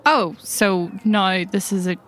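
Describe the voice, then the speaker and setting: A woman's voice: medium pitch, slow pace, fairly bright tone. A woman playing a frank, wry agent in improvised tabletop roleplay, dry and matter-of-fact.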